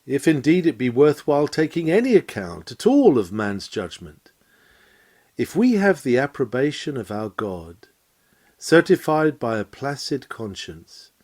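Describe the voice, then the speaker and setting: A man reading a lecture aloud as scripted narration, in a measured, emphatic, oratorical manner. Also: medium pitch, a little mellow. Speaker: reader of a historic sermon lecture.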